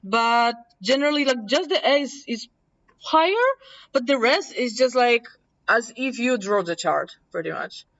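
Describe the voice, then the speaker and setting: A woman from Poland with a mild Polish accent, casually teaching a workshop and thinking aloud as she demonstrates software. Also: fairly high pitch, lively intonation, a little slow. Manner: chatty, self-correcting, thinking aloud. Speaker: workshop presenter. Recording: distant, dull room recording.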